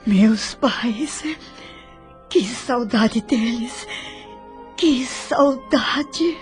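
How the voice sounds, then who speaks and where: A Brazilian woman playing an emotional, devout daughter in a spiritist audio drama, tender and eager, in a husky voice. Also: a little high, extremely slow, a little mellow, faint music behind. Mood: moved, longing.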